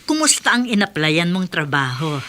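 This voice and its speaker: An actress playing a gentle, motherly woman who talks tenderly with her daughter, in a radio drama. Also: on the low side, very animated, moderate pace. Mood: curious. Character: caring mother, gentle and devout.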